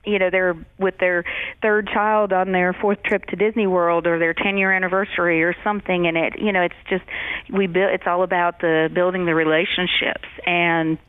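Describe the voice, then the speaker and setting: A woman's voice: lowish voice, flat delivery, normal speed, clean tone, dry recording, phone-quality sound. A woman from Georgia, whose first language is German, talking in a chatty way about her travel agency, with many fillers and restarts. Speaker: travel agency owner, guest. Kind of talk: radio interview, casual.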